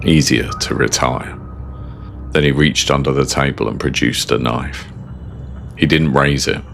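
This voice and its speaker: A British man with an exceptionally low, gravelly voice narrates a horror story in the first person. His delivery is measured, understated and ominous. Music plays clearly behind him. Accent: British English.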